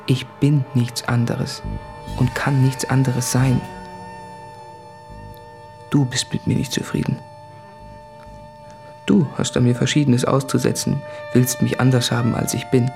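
An actor playing a guilt-ridden male writer in a radio play, confessing in an intense, introspective, articulate monologue with a husky voice. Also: medium pitch, flat delivery, a little slow, long pauses, over music. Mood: insistent, brooding. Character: anguished, guilt-ridden male writer addressing his fiancée. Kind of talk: literary radio play monologue from letters and diaries.

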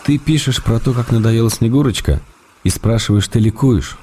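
An actor playing a wry, affectionate man writing letters to his wife, read in a calm, measured, gently ironic way. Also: medium pitch, a little hiss or hum, close to an everyday voice. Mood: fond. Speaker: letter reader. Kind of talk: radio drama letter reading.

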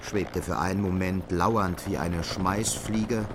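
Deep-voiced male literary narrator. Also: steady tone, slow pace, noisy background. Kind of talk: scripted literary narration in a radio drama.

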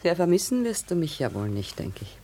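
A proud wife, cool and guarded, measured delivery, old 70s radio play. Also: female voice, fairly low pitch, very animated, mellow, breathy edge, full character voice. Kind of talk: 1970s radio drama acting.

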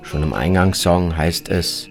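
A man's voice: low-pitched, measured pace, creaky, over music. A man narrating a scripted, literary travel piece for radio as its reporter.

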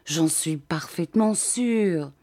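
A woman's voice: fairly high pitch, sing-song, measured pace, mellow, pitched above the performer's own voice. A woman voicing an emotional middle-aged husband with a theatrical, exclaiming way of talking. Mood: soothing.

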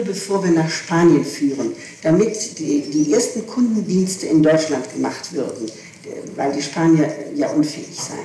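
A woman with a soft, dark voice gives a detailed statement under questioning. She insists on particulars and halts to correct herself. The old tape is heavily echoey, with noise running underneath. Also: fairly low pitch. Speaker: suspect being questioned. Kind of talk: recounting events under interrogation. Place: echoing room, archival tape recording.

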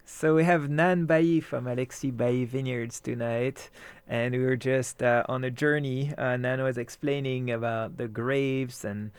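A man hosts a radio interview in an ordinary mid-range voice. He asks his guest questions haltingly and often restarts his sentences. He sits close to a dry studio mic. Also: mid-range voice, fairly even intonation, clear, resonant tone, no echo. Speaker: radio host.

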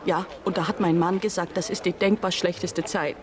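A woman's voice: fairly low pitch, fairly even intonation, moderate pace, natural voice. An actress in a radio drama playing an emotional, outspoken mother who stammers and rambles in agitation. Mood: confiding, nervous. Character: emotional, outspoken ordinary mother, indignant and flustered. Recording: noisy outdoor crowd scene.

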